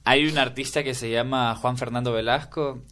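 A man's voice: mid-range voice, steady tone, normal speed, sharp tone, slight background noise. Chatty Ecuadorian young man. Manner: enthusiastic, restarts often. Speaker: guest. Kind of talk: casual interview chat.

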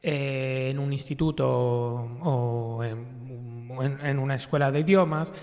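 A young Italian-accented man answers in an interview at an unhurried pace. He is hesitant and measured, and his voice carries in a reverberant hall. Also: medium pitch, steady tone, darkish tone. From Italy. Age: young adult. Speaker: student teacher, interview guest. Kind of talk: casual spoken interview.

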